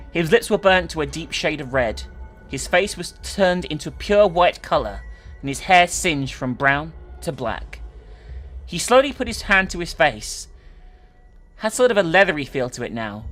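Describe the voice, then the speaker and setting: A woman's voice: deep voice, a bit bright. A woman narrating and commenting in a dramatic but casual story-reading style. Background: faint music.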